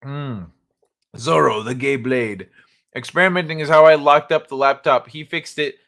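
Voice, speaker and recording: A man's voice: mid-range voice, normal speed, creaky, fairly bright tone. A man hosting a live stream, chatting casually and rambling with jokes and swearing. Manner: rambling, joking, profane, laughs often. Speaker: livestream host. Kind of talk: casual live-chat talk.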